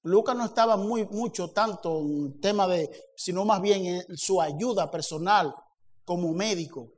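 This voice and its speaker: Male preacher, high pitch, animated sermon delivery with acted-out dialogue. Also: measured pace. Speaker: pastor.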